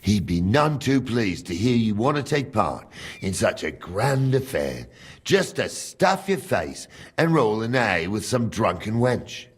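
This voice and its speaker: An actor playing a gruff, teasing older castle captain with a husky voice and blunt, colloquial talk in a video game. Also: male voice, lowish voice, sing-song, a little slow, heavily acted. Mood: gruff, dry. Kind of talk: video game dialogue acting.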